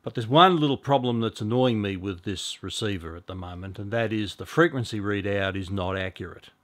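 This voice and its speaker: A middle-aged man with a fairly low voice talks through things like a hobbyist presenter. His delivery is casual and explanatory, halting and unhurried. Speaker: amateur radio hobbyist presenter. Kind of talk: casual explanatory video talk. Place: small indoor radio room.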